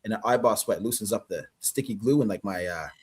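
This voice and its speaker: A man with a Canadian accent, a guest actor chatting casually on a livestream, relaxed and rambling, laughing often. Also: fairly low pitch, quick pace, breathy edge.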